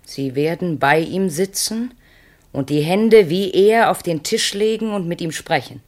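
An actress playing a commanding, fatalistic seductress in a solemn 1960s German radio play, speaking with deliberate, incantatory phrasing. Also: female voice, fairly low pitch, darkish tone, lightly acted. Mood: cold, instructing. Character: commanding, fatalistic seductress demanding her husband's death.

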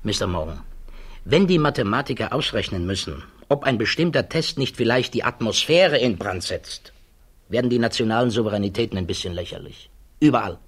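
Thoughtful, troubled physicist with a husky voice. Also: male voice, lowish voice, expressive. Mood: grave, firm. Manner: hesitant, measured, deliberate. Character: thoughtful, troubled male physicist questioned as a witness. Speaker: actor. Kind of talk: 1960s German radio drama acting.

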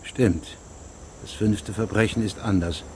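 An actor playing a formal, persistent investigating judge who questions witnesses precisely in a German radio drama. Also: male voice, on the low side, a little slow, mellow, slight background noise, close to an everyday voice. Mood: critical, insistent.

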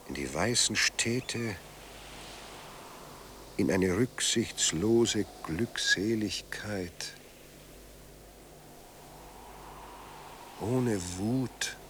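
A low-voiced, sardonic dead writer. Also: male voice, a bit bright, slight background noise, full character voice. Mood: solemn, resolute. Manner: ironic, eloquent, theatrical. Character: dead writer, newly sober, sardonic and bitter. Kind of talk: radio drama acting.

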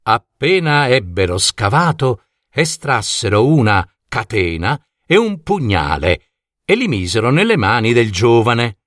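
A man reading a fairy tale for children aloud in a slow, expressive storybook way. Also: medium pitch, no room sound, studio quality.

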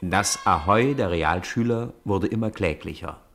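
A man narrating a radio play in a measured, storytelling manner. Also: sits low, noisy background.

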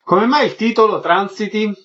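A man's voice: high voice, normal speed, a bit bright, slightly rough. A man hosting a radio interview, putting prepared questions and introductions to guests. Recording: dull muffled sound, faint noise.

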